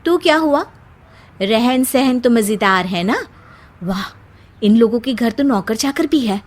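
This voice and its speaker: A woman's voice: on the high side, lively intonation, measured pace. A woman voicing an envious, demanding young wife in a family story, insistent and exclamatory. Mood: impressed, envious. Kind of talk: dramatised Hindi family storytelling.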